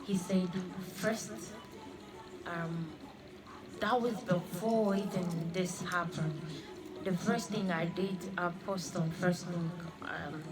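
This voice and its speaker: A woman in her thirties, born in Congo and raised in Australia, giving an earnest personal religious testimony and often halting to repeat her phrases. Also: on the low side, steady tone, over a noisy crowd, rough recording. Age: 30s. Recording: music playing under the voice. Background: clear background music.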